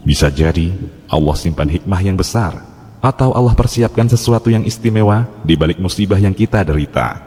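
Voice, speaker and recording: Calm low-voiced man narrating, reverberant. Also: husky. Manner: calm, measured storytelling. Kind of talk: scripted religious story narration. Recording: long reverb.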